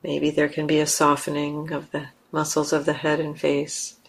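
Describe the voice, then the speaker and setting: A woman leading a guided meditation as a teacher, speaking gently in short, unhurried phrases with long pauses between them. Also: deep voice, completely flat delivery, gravelly, husky, rough recording.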